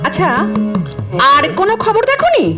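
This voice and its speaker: Audio drama: sarcastic, scolding middle-aged wife, high and extremely swooping in pitch. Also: female voice, very animated, moderate pace, over music. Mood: coaxing, irritated. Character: nagging, exasperated middle-aged Bengali wife and mother.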